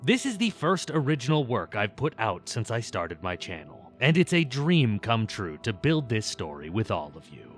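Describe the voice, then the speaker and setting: A man's voice: medium pitch, big pitch swings, moderate pace, hardly any pauses, soft background music. A man narrating a scripted video essay in a conversational, wry way, as the host of the show.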